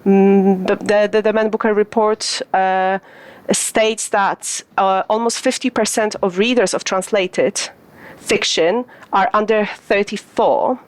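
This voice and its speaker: A woman from Poland, Polish her native tongue, speaks as a guest lecturer in a measured, academic way, pausing often on fillers and restarting phrases as she thinks. Her voice sits at a middle pitch. The recording is close and dry, with no room sound. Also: fairly even intonation, no room sound. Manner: thoughtful, many fillers and restarts. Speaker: guest, translation studies lecturer. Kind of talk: academic interview answer.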